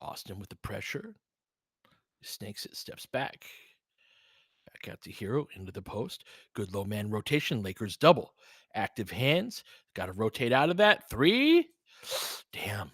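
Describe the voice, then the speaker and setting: Male play-by-play streamer, bright forceful voice, dry close sound, clipped calls mixed with casual analysis and listener asides. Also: lowish voice, very animated, unhurried, pauses often, breathy edge, no echo. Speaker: play-by-play host of a fan audio stream.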